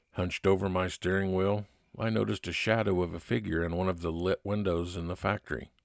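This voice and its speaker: A man playing a level-headed, determined 1950s private investigator, narrating in a measured old-time radio detective style. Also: sits low, creaky, no room sound, studio quality.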